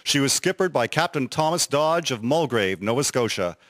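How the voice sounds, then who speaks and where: A man narrating a scripted dramatic story in a measured, solemn way. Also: mid-range voice, lively intonation, a bit bright, no echo, studio quality. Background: music.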